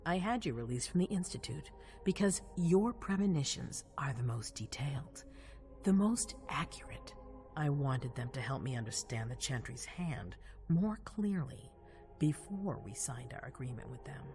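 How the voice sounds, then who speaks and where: An actress playing a composed, calculating vampire ruler in a video game cutscene, measured and authoritative. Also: female voice, extremely low pitch, expressive, darkish tone. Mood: commanding, measured. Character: commanding vampire prince woman, composed, calculating, regal. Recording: faint music behind.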